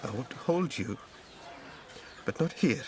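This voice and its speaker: An actor plays a wounded, distrustful man in a radio play, a wreck of a former lover. His mid-range voice is intense and questioning. There is clear noise in the background of the recording. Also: medium pitch, lively intonation, a little slow, darkish tone, natural voice. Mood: tender, hopeful. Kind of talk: radio drama acting.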